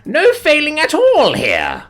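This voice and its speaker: A woman voicing a towering, theatrical male alien who gushes in booming, manic declarations. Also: wildly swooping pitch, takes it slowly, faint music behind, exaggerated voice. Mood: ecstatic.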